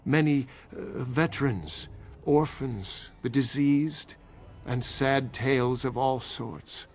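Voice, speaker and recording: A man's voice: mid-range voice, takes it slowly, a little mellow. A man acting as an ingratiating street beggar in a video game, pleading obsequiously and playing up his hardship. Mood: wheedling.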